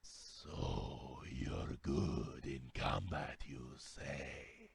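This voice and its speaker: A man playing a deep, gravelly Argonian lizard guild official in a fantasy game, speaking slowly, haltingly and formally. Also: a little hiss or hum, heavily acted. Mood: measured, appraising. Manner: slow, halting, formal delivery. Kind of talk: scripted fantasy video game dialogue.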